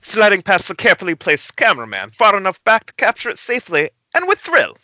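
A man's voice: sits high, huge pitch swings, fast, bright, forceful tone, exaggerated voice. A man playing a fussy, indignant stunt coordinator in a screenplay table read over a dull internet call. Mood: exasperated.

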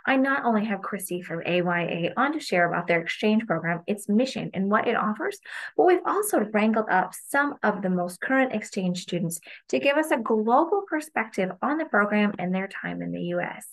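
A woman's voice: mid-range voice, animated, a little fast, rough recording. Casual woman hosting a podcast. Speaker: podcast host.

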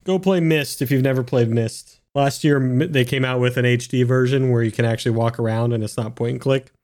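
A man's voice: medium pitch, fairly even intonation, brisk, darkish tone. Man chatting casually as a tabletop player. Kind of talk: casual chat.

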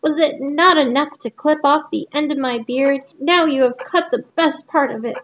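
A woman voicing a shrill, ill-tempered little male dwarf in a storybook reading, scolding and insulting. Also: slow, muffled recording.

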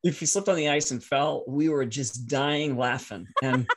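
A man whose first language is French, telling personal stories casually as a podcast guest in a halting, reflective, easily amused way. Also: medium pitch, noisy background.

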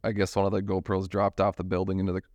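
A young man with a low, dry-sounding voice, casually telling a meandering story to friends. He laughs easily as he talks. The sound is close and dead, with no room around it. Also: completely flat delivery, fast talker, darkish tone, no room sound. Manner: rambling storyteller, laughs often. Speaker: podcast co-host. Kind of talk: casual chat.

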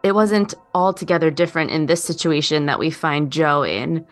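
A woman's voice: deep voice, moderate pace. A woman chatting reflectively and casually in a roundtable, speaking in an earnest, heartfelt way. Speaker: podcast guest, actor.

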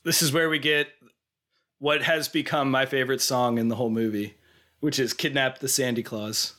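A man's voice: medium pitch, steady tone, normal speed, bright, forceful tone. American man with a cold, chatting casually. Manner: rambling, jokey, conversational. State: has a cold, losing voice. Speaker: podcast co-host. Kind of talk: casual film discussion.

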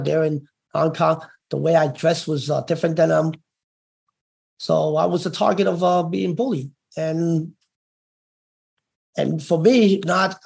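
A middle-aged man from Brooklyn with a slight Cantonese-tinged New York accent, telling his story in an interview, halting and full of fillers and restarts. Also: a little high, gravelly, darkish tone. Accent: Cantonese-tinged New York English. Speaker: interview guest, former gang member.

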